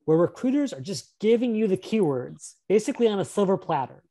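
Man, career workshop presenter on a webcam, lively pitch, mellow tone, upbeat and encouraging. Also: fairly quick. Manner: upbeat, encouraging, asks questions. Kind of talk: live webinar presentation. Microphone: webcam on a video call.

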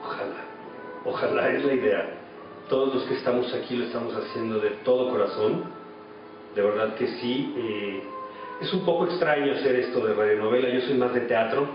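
A Mexican man in his forties giving reflective answers as an actor being interviewed. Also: medium pitch. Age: 40s. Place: small office indoors. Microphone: camera mic at a distance. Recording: echoey, distant, dull, noisy room.

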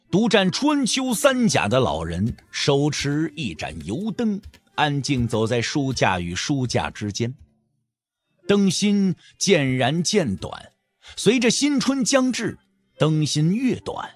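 Lively higher-pitched male drama narrator. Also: slow. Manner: expressive, literary storytelling. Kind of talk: dramatic scripted audiobook narration.

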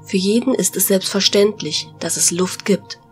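A woman voicing a gentle, wise young goddess of nature in a fantasy parody audio drama, calmly lecturing. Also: mid-range voice, moderate pace, soft background music, close to an everyday voice. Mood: gentle, philosophical. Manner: calm, lecturing.

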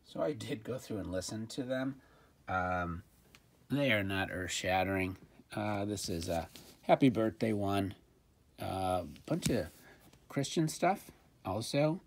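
A man with a deep, gravelly voice talks through a hands-on demonstration at a tabletop indoors. He is informal and thinks aloud, hesitating as he goes. There is faint noise and some handling clicks in the recording. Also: lively intonation, slow. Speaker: presenter of a gadget review. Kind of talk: casual hands-on product demonstration.

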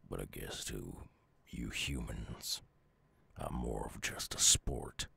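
Gruff tired southern us werewolf for asmr, super low and slow, husky gravelly drawl, guarded loner. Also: male voice, sharp tone, full character voice. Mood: guarded, resigned. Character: gruff wounded male werewolf outcast, weary and guarded. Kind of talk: slow ASMR audio roleplay.

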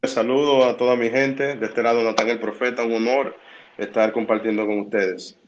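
A man from the Dominican Republic speaking calmly and measuredly on a video call, with pauses and hesitations. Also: mid-range voice, lo-fi sound. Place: home room, indoors. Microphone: laptop webcam on video call.

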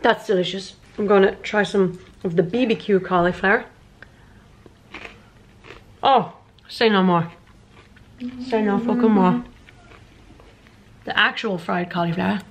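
A woman's voice: medium pitch, a little slow, long pauses, slightly rough. A woman, chatting casually and emphatically to a handheld camera as a travel vlogger. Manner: expressive, emphatic, informal. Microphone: camera at arm's length. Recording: noisy handheld camera, street and room background.

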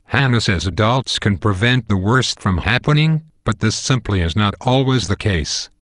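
A low-pitched male text-to-speech voice acts as an animated host. The scripted delivery is formal and explanatory, and the sound is dry. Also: moderate pace, no room sound. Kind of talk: scripted presentation.

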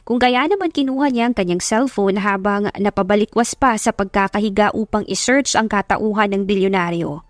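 A woman's voice: medium pitch, moderate pace, dry recording, studio quality. A woman with a Filipino accent narrating a dramatised story as an audiobook reader.

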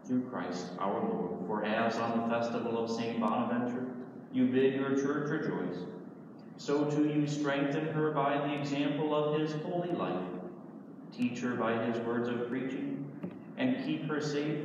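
A man serving as priest, reciting liturgical prayers in a slow, solemn way. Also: mid-range voice, a little mellow, slight background noise. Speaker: priest celebrating Mass. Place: large church sanctuary. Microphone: far camera. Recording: echoey church from far off, muffled.

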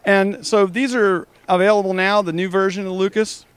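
A man with a distinctly high voice works as a podcast interviewer at a trade show. He talks conversationally to his guest and audience, and the sound is close and dry. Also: fairly even intonation, moderate pace, smooth, no echo. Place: exhibition booth at an EMS trade show.

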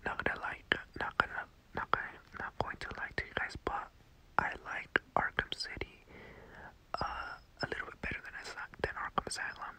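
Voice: moderate pace, pushed and bright, poor audio. A person whispering casual, rambling ASMR game commentary into a close headphone mic, often repeating phrases. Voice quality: whispering, unvoiced. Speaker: gaming video host. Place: voice-over recorded over gameplay.

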